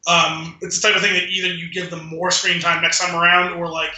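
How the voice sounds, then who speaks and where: A man's voice: sits high, level tone, a little fast, bright, forceful tone. A man co-hosting a podcast, giving his opinions in casual film discussion, recorded with an echoey, roomy sound. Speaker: podcast co-host.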